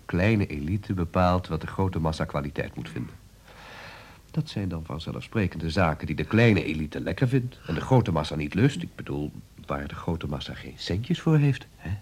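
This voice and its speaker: A man's voice: sits low, animated, normal speed, a little mellow, breathy edge. An actor playing a sardonic, sceptical man in a radio drama, talking in a mocking, teasing way. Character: sardonic, sceptical man needling his lover.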